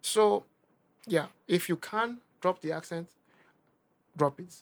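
A young Nigerian man with a Nigerian accent, a rapper talking casually in an interview, animated and emphatic, often repeating himself. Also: high-pitched, takes it slowly, vocal fry. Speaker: rapper guest.

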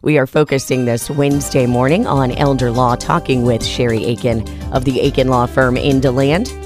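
A woman hosting a local radio talk show, briskly wrapping up a segment before the break. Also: a bass voice, fairly even intonation, over music. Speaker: radio host.

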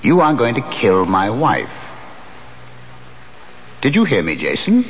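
An actor playing a menacing, vengeful male ghost in a radio mystery, speaking deliberately and threateningly. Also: mid-range voice, wildly swooping pitch. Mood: coolly menacing. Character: menacing male ghost, coolly vengeful, commanding a living man. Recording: dull hissy 1970s radio broadcast.

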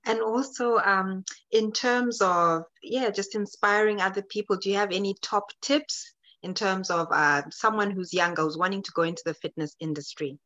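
A woman's voice: mid-range voice, steady tone, moderate pace, few pauses, pushed and bright. A woman interviewing in a casual, encouraging, informal way. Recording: slightly dull, lo-fi audio.

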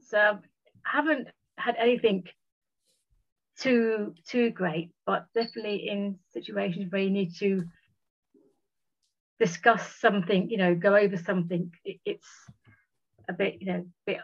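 A woman who stammers, talking haltingly in a casual conversation with repeated words. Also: medium pitch, fairly even intonation. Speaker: guest sharing experience. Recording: dull lo-fi recording.

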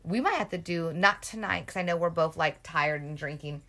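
A woman's voice: lowish voice, normal speed, bright, forceful tone. A woman in her thirties co-hosting a casual chat, candid and laid-back. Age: 30s. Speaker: podcast co-host.

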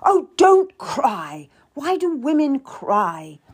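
A woman's voice: fairly high pitch, big pitch swings, unhurried, a little mellow, pitched above the performer's own voice, heavily acted. Woman putting on a curt, haughty Tudor nobleman. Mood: irritated. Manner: brusque, condescending. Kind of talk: dramatised audiobook character.

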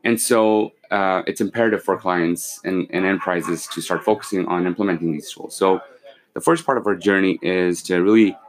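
A man in his forties, born in Pakistan and raised in London and Kuwait, calmly explaining his company as a podcast guest, with many fillers and restarts. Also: sits low, moderate pace. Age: 40s. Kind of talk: casual interview, explaining business.

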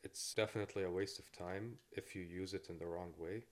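A man's voice: deep voice, steady tone, a little fast. A man in his twenties from Kuwait, a podcast guest talking in an easygoing, laid-back way. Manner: easygoing, laid-back, with frequent fillers and short laughs. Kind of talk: casual interview chat.

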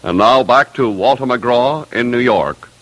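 A man's voice: mid-range voice, measured pace, no echo, poor audio. A man announcing formally in an old-time radio style, reading scripted continuity. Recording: old radio recording, loud music behind.

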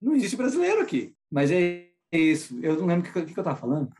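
A Brazilian man with a Brazilian Portuguese accent talks quickly, in a loose and rambling way. He is a teacher being interviewed, and his voice sits in a middle-high range for a man, with frequent restarts and tag questions. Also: wildly swooping pitch, darkish tone. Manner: rambling, many restarts and "né" tags. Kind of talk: casual conversational interview.

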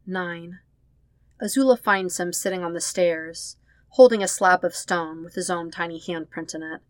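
A woman reading a story as a scripted narration, expressive and leaning on the emotional words. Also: fairly low pitch, normal speed, no room sound. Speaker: fan fiction podfic reader.

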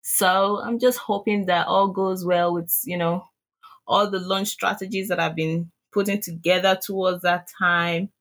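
A woman's voice: on the low side, fairly bright tone. A woman with a Nigerian accent, answering interview questions hesitantly with many fillers. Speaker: guest, online seller.

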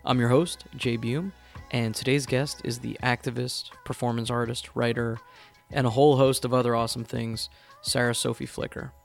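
A man's voice: medium pitch, flat delivery, moderate pace, gravelly, hardly any pauses, soft background music. A man hosting a podcast, chatting casually and enthusiastically with his guest, with many eager agreeing interjections. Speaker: podcast host.

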